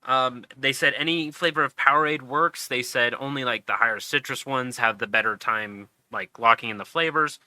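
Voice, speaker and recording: A man's voice: medium pitch, moderate pace, hardly any pauses, bright, forceful tone, smooth, dry recording. A sniffly man hosting a casual podcast, chatty and upbeat. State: sniffly, congested. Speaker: podcast host. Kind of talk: casual chat.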